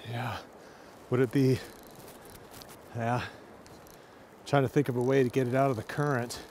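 A man's voice: medium pitch, flat delivery, a little slow, darkish tone, a little hiss or hum. Man cheering from the riverbank. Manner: short admiring remarks. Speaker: fishing companion. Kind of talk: casual chat. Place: outdoors on a riverbank.

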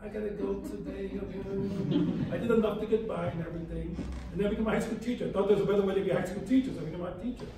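An older man speaking bluntly and fast as a panelist in a panel discussion, recorded from far off in a large hall. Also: high-pitched, steady tone, noisy background. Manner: blunt, outspoken, fast-talking. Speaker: panelist, museum founder. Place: large hall with brick walls. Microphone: far room mic.

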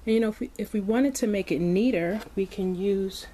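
A woman hosting a kids' toy video, narrating as she plays with modeling clay in a slow, gentle, playful way with soft asides. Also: medium pitch. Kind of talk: hands-on toy play narration for kids. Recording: background noise.

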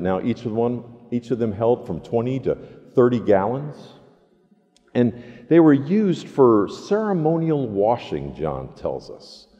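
A man in his sixties, a pastor, delivering a sermon with deliberate, emphatic phrasing in a church sanctuary. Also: medium pitch, dark tone, big-room sound. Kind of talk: sermon with scripture reading and prayer. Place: large church sanctuary, indoors.

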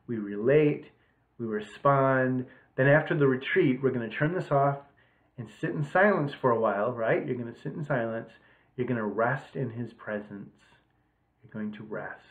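Middle-aged male priest, informal retreat talk, conversational with rhetorical check-ins; mid pitch, echoey webcam audio. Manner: conversational, seeks agreement with short rhetorical checks. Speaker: priest leading an online retreat. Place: small home room, indoors. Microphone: webcam or laptop at desk distance. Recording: roomy echo, narrow thin webcam sound.

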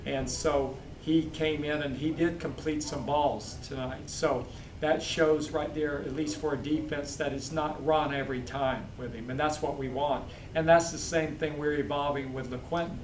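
A man who is a head football coach, answering postgame questions in a steady, measured way with many fillers. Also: a little high. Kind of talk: postgame press interview. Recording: echoey, distant mic, crowd noise.

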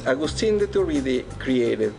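Mexican-accented man explaining in a halting way. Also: mid-range voice, big pitch swings, gravelly. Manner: halting, many fillers, earnest. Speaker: restaurant guest. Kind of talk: explaining food and history in conversation. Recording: music playing behind.

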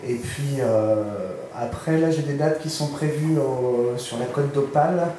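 A man's voice: medium pitch, a little slow. A man in his thirties from northern France with a French accent, casually answering interview questions into a handheld microphone. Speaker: musician being interviewed. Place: small furnished indoor studio. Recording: very echoey room with clear noise.

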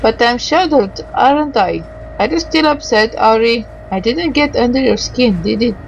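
A woman doing let's play commentary, reading game dialogue aloud haltingly and adding muttered asides. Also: a little high, animated, creaky, highs cut off, slight background noise.

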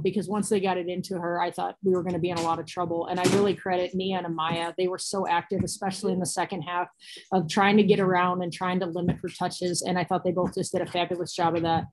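Fast-talking, low-voiced American woman coach. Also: level tone, darkish tone, slight background noise, poor audio. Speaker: basketball coach. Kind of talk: postgame press conference answers. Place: small cinder-block room indoors. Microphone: laptop or phone on a video call.

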